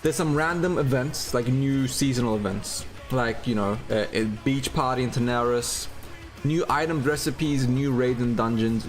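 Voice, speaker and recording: A man's voice: medium pitch, normal speed. Australian man streaming casually over faint music. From Turkey and Australia. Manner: informal, sweary. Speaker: livestream host. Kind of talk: casual livestream chat.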